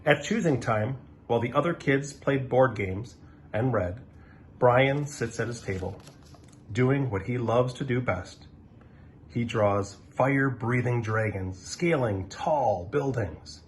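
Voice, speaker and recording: A man reading a children's story aloud in an animated, expressive way, with dramatic pauses. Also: mid-range voice, slight background noise.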